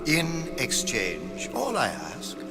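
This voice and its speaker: An actor playing an unhinged, sadistic artist and impresario in a video game, with theatrical, grandiose, lilting declamation and sudden outbursts. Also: male voice, medium pitch, huge pitch swings, slow, over music, exaggerated voice. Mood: sly, menacing. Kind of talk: dramatic video game acting.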